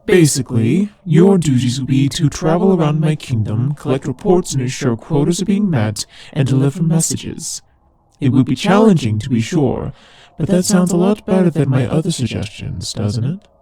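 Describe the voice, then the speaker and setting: Guy doing a demon king of hell for roleplay audio, raspy n mellow, amused drawl, sardonic and teasing. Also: a little high, expressive, normal speed, dry recording, low-quality recording. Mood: businesslike, pleased. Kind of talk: scripted roleplay audio drama monologue.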